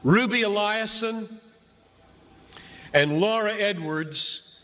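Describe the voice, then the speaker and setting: A man preaching an impassioned sermon to a crowd as a pastor, speaking emphatically and deliberately with dramatic pauses between short phrases. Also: sits high, sharp tone. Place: large gathering before a crowd. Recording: echoey large venue, long reverb.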